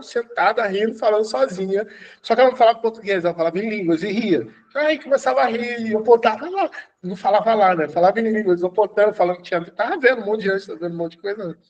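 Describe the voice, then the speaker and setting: A man's voice: high-pitched, highs cut off. A man, an apostle, teaching an online church class, exhorting students and repeating his commands, at times laughing as he tells stories.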